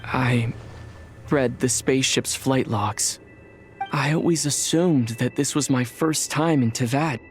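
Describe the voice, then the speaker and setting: A thoughtful young male traveler from a video game cutscene, voiced by an actor. He speaks quietly and with measured calm, pausing to reflect. His pitch is mid-range, with faint music behind. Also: close to an everyday voice. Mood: reflective.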